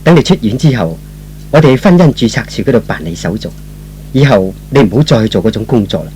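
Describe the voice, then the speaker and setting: Man playing an earnest, devoted young lover, expressive pitch. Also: quick pace, slight background noise, close to an everyday voice. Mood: tender. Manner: earnest, sentimental. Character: devoted, proud, tender young man in love. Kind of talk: old Cantonese radio melodrama acting.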